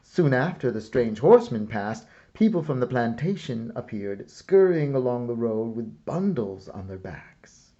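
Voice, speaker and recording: A man narrating a children's storybook aloud in a measured, deliberate way with pauses. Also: mid-range voice, sing-song, warm tone, lo-fi sound. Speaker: storyteller reading a picture book aloud.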